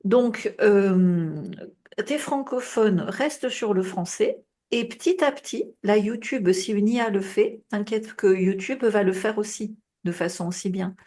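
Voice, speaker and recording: A woman coaching on a group call in a relaxed, chatty way, heavy with fillers and check-ins, her voice a bit worn with coughing. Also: mid-range voice, unhurried. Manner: casual, advising, many fillers and tu vois. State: coughing, voice tiring. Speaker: business coach.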